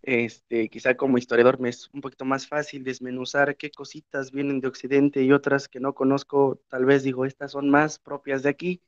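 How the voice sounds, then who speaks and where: A man's voice: medium pitch, little pitch movement, normal speed, hardly any pauses, no echo. Mexican Spanish man, historian and teacher, reflective, muffled video call audio. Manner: reflective, many filler words. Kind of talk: panel discussion talk. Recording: dry, muffled lo-fi sound.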